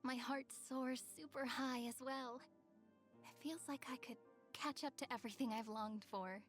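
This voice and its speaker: Woman doing a high sweet anime game girl, dreamy and a bit teasing, music behind her. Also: fairly even intonation, moderate pace, close to an everyday voice. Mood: sweet, playful. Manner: sweet, wistful, softly teasing. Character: gentle, playful young girl with a romantic, dreamy temper. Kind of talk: video game character voice lines.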